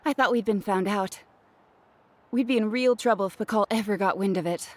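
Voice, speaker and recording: A woman's voice: mid-range voice, brisk, no room sound, natural voice. A woman playing a polite, obliging, slightly anxious craftswoman in a video game, speaking in an apologetic, explanatory way. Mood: anxious, confessing. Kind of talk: video game character acting. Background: background music.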